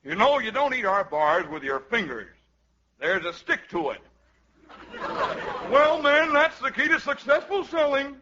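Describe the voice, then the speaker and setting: An actor playing a blustery, pompous ice cream sales boss in a 1950s radio comedy, with a falsely hearty pep-talk delivery. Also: male voice, extremely high pitch, big pitch swings, a little slow, long pauses, pushed and bright, a faint crowd, heavily acted. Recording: noisy, dull 1950s radio recording.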